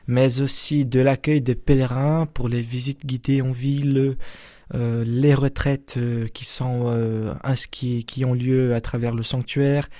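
A man's voice: medium pitch, flat delivery, unhurried, warm tone, no room sound, studio quality. A man from Brazil, a seminarian, answering interview questions hesitantly with many fillers and restarts. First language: Portuguese. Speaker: seminarian, religious brother.

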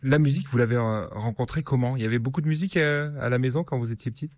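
A man interviewing a guest in a radio studio, asking halting questions with frequent fillers. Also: medium pitch, no room sound.

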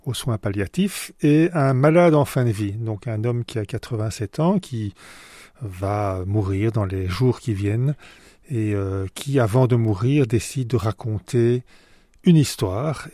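A man's voice: fairly low pitch, dark tone, no room sound, studio quality. A man from Belgium, an author answering radio interview questions, hesitant with many fillers and restarts.

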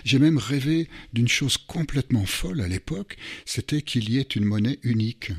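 A man in his sixties from the Moselle border region, a Luxembourgish native speaker, talking as a guest author in a radio interview, measured and often chuckling. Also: medium pitch, lively intonation, breathy edge. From Moselle border region, France. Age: 60s.

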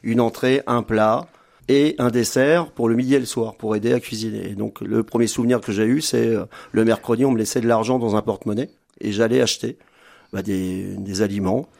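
Man, 50s, chef guest; low gravelly voice, hesitant and halting delivery. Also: steady tone, darkish tone. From France. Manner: hesitant, many fillers and restarts. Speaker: restaurant owner and chef, guest. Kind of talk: casual radio interview.

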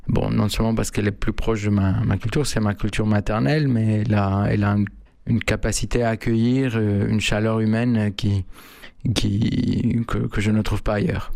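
Man, flat gravelly voice, halting answers, dry sound. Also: fairly low pitch, dry recording. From Mexico. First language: Spanish. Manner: halting, many fillers and restarts. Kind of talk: hesitant interview answers.

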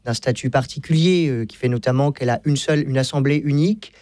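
A man's voice: medium pitch, fast talker, darkish tone, dry recording. A man speaking off the cuff as a radio debate guest, hesitant and often stammering over repeated words.

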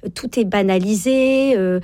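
A woman's voice: medium pitch, unhurried, mellow, no echo, studio quality. A woman in her forties, a guest author on parenting, talking in a radio interview with many fillers and repeated words. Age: 40s.

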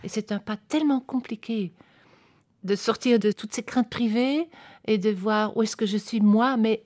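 A woman's voice: on the high side, moderate pace, a little mellow. A woman from a theatre company answering interview questions hesitantly, searching for words.

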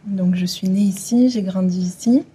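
A woman's voice: medium pitch, normal speed, warm tone, husky. A woman, a hydrotherapist guest answering radio interview questions hesitantly, with many fillers. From France, Occitanie. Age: 20s. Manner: hesitant, many fillers, finishes others' sentences.

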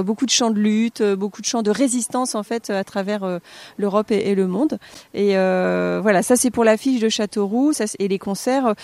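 A woman directing a choral festival, explaining the program in an interview with many pauses and fillers. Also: mid-range voice, a little mellow, dry recording. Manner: hesitant, many fillers and restarts. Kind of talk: radio interview.

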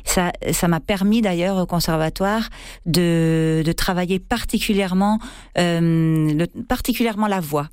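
A woman's voice: fairly low pitch, measured pace, a little mellow, clear, resonant tone, dry recording, clean studio sound. A middle-aged French woman, an actress and theatre co-director, answering radio interview questions hesitantly with many fillers and restarts. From France.

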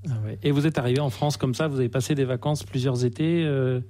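A man's voice: mid-range voice, fairly even intonation, fast talker, warm tone. A man co-hosting a radio interview in a conversational style.

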